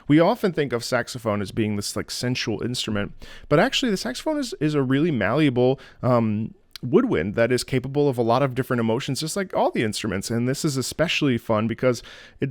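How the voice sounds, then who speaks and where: A man hosting a music reaction video, giving enthusiastic analysis in an expressive way. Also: mid-range voice, brisk, no room sound, studio quality. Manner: expressive, breaks into scat-singing to illustrate rhythms.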